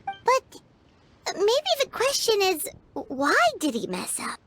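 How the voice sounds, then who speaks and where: Very high, squeaky little fairy companion. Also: female voice, wildly swooping pitch, slow, fairly bright tone, a little hiss or hum, full character voice. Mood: earnest, questioning. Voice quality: squeaky, childlike. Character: tiny childlike female fairy companion, earnest and curious. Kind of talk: scripted English video game voice acting.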